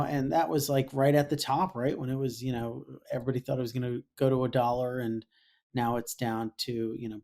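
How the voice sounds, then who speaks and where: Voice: mid-range voice, a little mellow. A podcast host interviewing a guest remotely, talking conversationally with fillers and playful asides. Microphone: remote call setup.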